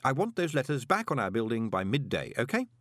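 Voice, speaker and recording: A man's voice: mid-range voice, big pitch swings, fairly quick, fairly bright tone, no room sound, clean studio sound. A man voicing a polite, confident young conman postmaster with a glib, persuasive manner in an audiobook. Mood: brisk, polite. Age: young adult.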